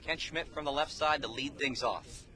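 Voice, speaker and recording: A man's voice: mid-range voice, moderate pace, sharp tone. A man calling live baseball play-by-play on the radio in clipped, descriptive phrases from an outdoor ballpark. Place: outdoor ballpark, beside the field.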